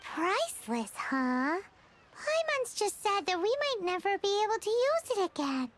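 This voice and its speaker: A woman voicing a tiny, childlike, chirpy and indignant fairy companion in a video game, with a squeaky, exclamatory delivery. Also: big pitch swings, unhurried, background noise, full character voice. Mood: sad, cheeky. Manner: exclamatory, refers to self in third person. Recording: loud game music behind voice. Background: music.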